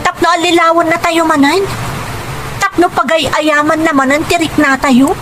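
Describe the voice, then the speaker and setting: A woman's voice: very high voice, little pitch movement, moderate pace, background noise. A woman playing a hurt, sarcastic young adult daughter in a radio drama, challenging, with an Ilocano accent. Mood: bitter. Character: hurt, sarcastic grown daughter of abandoned family.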